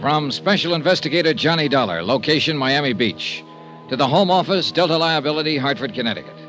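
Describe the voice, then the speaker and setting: An American actor playing a blunt, cynical insurance investigator in a 1950s radio detective drama. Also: male voice, on the high side, animated, fairly quick, natural voice. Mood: brisk announcing. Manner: blunt, hard-boiled, wry. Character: tough, cynical male insurance investigator, radio noir hero. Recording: vintage radio drama recording, faint music.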